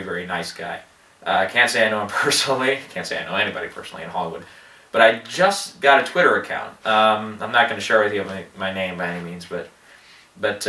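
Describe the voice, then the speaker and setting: A man's voice: on the low side, pushed and bright, far from the mic. Man with a head cold, echoey. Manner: halting, many fillers and restarts. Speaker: movie box office vlogger. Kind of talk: casual rambling commentary. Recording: echoey room, voice off-mic.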